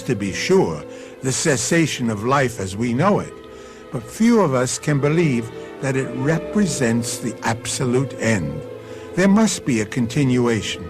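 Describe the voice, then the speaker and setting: A man hosting a radio mystery, giving ominous, deliberate, theatrical scripted narration. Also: medium pitch. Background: music.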